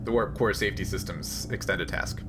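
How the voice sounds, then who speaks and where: A man's voice: fairly low pitch, moderate pace, over music. A man acting as game master for a tabletop sci-fi game, explaining things casually and a bit hesitantly.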